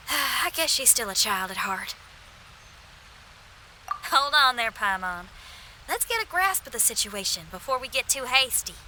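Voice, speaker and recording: A woman playing a confident, spirited young adventurer in a video game, with a casual, frank and expressive delivery. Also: on the high side, unhurried, pauses often, slight background noise, heavily acted, poor audio. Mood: wary.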